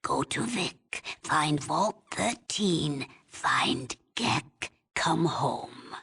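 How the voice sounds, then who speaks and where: An aged female village elder from a video game, her voice very husky and thick with gravel. She speaks slowly and solemnly, with a formal, halting gravity that pleads for her people. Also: sits low, lively intonation, fairly bright tone, exaggerated voice. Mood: solemn, encouraging. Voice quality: husky, raspy, breathy. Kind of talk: video game character dialogue.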